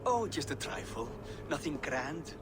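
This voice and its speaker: A smug, boastful builder. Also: male voice, a little high, wildly swooping pitch, a little slow. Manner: confident, persuasive, unbending. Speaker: game character. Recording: game audio with loud noise.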